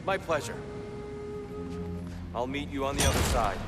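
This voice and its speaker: An actor playing a cunning old god disguised as a polite warrior, speaking in a courtly, formal way in a video game. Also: male voice, medium pitch, moderate pace, close to an everyday voice. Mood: courteous. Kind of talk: video game cutscene dialogue. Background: loud game music.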